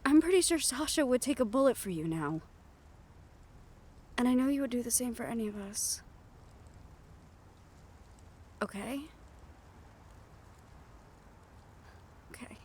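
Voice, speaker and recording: A woman playing a gentle, wistful half-siren, half-banshee who confides softly in an intimate roleplay audio, with reflective pauses. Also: a little high, lively intonation, a little hiss or hum, lightly acted. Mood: reassuring.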